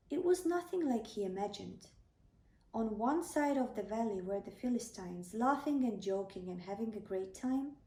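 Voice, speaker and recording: A woman's voice: fairly high pitch, dark tone. A woman narrating a scripted children's bedtime story as a storyteller. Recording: echoey room, slightly distant microphone.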